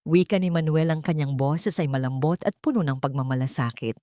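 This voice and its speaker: Filipino lady narrating a dramatic story, lowish voice, really dry close recording. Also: brisk, mellow, no room sound.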